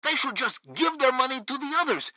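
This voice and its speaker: Pompous, swooping radio propaganda host. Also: male voice, extremely high pitch, expressive, fairly quick, sharp tone, no room sound, full character voice. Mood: indignant blaming. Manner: theatrical, melodramatic. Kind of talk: satirical radio news show acting.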